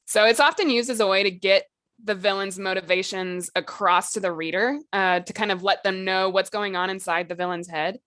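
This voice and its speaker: A woman's voice: medium pitch, fairly even intonation, a little fast, a bit bright, no room sound. A woman co-hosting a podcast, explaining things in an informal, chatty way with lots of casual asides. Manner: chatty, informal, peppers talk with "like" and "you know". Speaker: podcast co-host.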